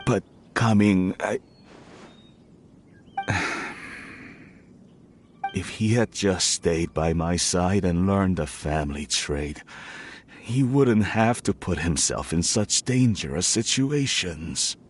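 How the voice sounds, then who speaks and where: An actor playing a reserved middle-aged merchant father in a video game, speaking in a formal, measured, anxious way. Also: sits low, animated, a little hiss or hum, heavily acted. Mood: worried.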